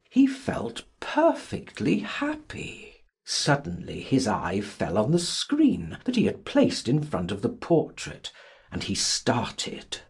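A man narrates classic fiction with theatrical care. His voice is very husky and his pitch moves dramatically up and down. He reads slowly and in a measured way. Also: a little mellow. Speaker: audiobook narrator. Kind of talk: dramatic literary audiobook narration.

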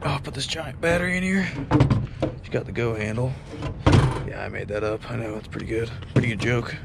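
A man's voice: mid-range voice, brisk, gravelly, very noisy. A young man chatting casually while he works on an old car, joking and filling his talk with "like". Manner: relaxed, joking, lots of "like" fillers. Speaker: car restorer. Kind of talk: casual hands-on chat. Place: outdoor lot, then a garage workshop.

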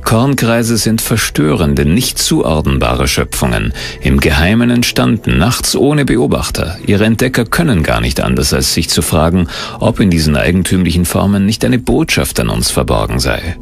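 Deep, gravelly male documentary narrator. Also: sing-song, normal speed.

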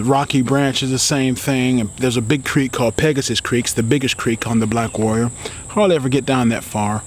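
A middle-aged American man giving casual fishing advice to the camera in an informal, rambling, repetitive way. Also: medium pitch. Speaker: fishing vlogger. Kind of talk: casual instructional talk to camera. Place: outdoors beside a building in a green yard. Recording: clearly noisy outdoor insect ambience.

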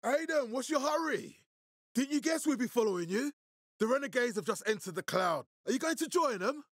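An actor playing a menacing middle-aged military leader in a video game, authoritative, curt and measured. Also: male voice, very high voice, very animated, fairly bright tone, dry recording, clean studio sound, exaggerated voice. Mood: furious, commanding. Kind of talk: dramatic video game voice acting.

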